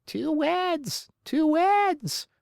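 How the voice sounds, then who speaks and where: A woman voicing a frantic little boy in a children's storybook, squawking and stammering in a squeaky, strained voice. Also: expressive, very slow, vocal fry, a little mellow, no echo, studio quality, exaggerated voice. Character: frantic little boy trapped inside his own nose.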